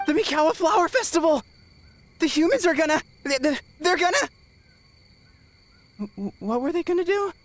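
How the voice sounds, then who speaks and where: A woman's voice: very high-pitched, lively intonation, moderate pace, long pauses. A woman voicing a small scheming yokai creature in a video game, flustered, hesitant and stammering. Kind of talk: video game character dialogue. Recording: reverberant, noisy ambience.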